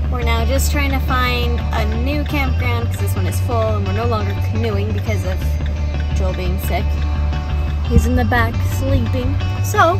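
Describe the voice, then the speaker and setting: Higher-voiced young woman vlogging casually. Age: young adult. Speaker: vlog host. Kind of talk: casual vlog chat to camera. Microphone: phone or camera at arm's length. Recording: music behind, handheld outdoor and indoor vlog.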